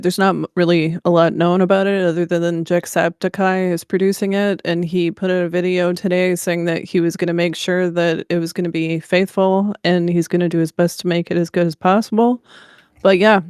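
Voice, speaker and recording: A woman co-hosting a podcast, chatting casually in an enthusiastic, excitable way about things. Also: fairly low pitch, smooth, no room sound, clean studio sound. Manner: enthusiastic, excitable gamer talk. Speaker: podcast co-host. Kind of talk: casual chat.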